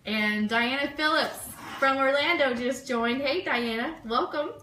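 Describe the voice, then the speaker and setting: A chatty, enthusiastic woman co-hosting a casual livestream radio show, reading out requests and shout-outs. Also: sits high, measured pace. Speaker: co-host of an online fan radio show. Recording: roomy echo, clear background noise.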